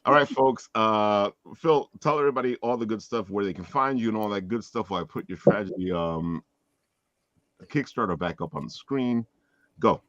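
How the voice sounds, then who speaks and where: A man with a deep voice hosts a casual chat show. He sounds dry, with no room echo, over slightly dull, lo-fi call audio. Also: normal speed, fairly bright tone. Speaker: interview show host.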